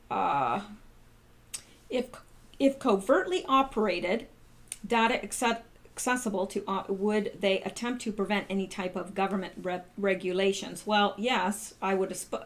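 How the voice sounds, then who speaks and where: A woman hosting her channel, reading out posts and commenting in a casual, halting way full of rhetorical questions. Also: a little high. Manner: conversational, many fillers and restarts, rhetorical questions. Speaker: channel host.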